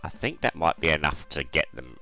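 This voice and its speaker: Brief: male let's-play host, croaky today, deep but lively delivery, rambly and hesitant. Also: a little fast, lo-fi sound, faint music behind. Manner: rambling, thinking aloud with many ums. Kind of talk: casual game commentary.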